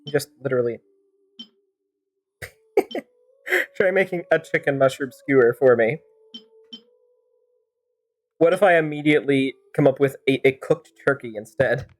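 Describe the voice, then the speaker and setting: A woman's voice: a bass voice, a little slow, long pauses, a little mellow. A woman game streamer improvising wry, teasing commentary and joking replies to the characters. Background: game music.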